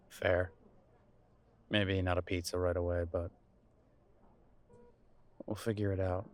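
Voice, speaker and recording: A male actor as a gentle, nervous boyfriend in an audio drama, with a fairly low voice. He speaks intimately and haltingly, trying to reassure while sounding unsure himself. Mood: reassuring, nervous. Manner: hesitant, halting, sighing delivery with soft chuckles. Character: nervous, reassuring grown son visiting his mother, affectionate boyfriend. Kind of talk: intimate audio roleplay acting.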